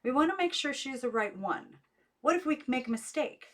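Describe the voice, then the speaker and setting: Mysterious unseen storybook woman, domestic and fussy, raised pitch, as a woman reader puts her on. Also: expressive, normal speed, a bit bright. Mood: fussy, concerned. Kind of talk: audiobook character voice.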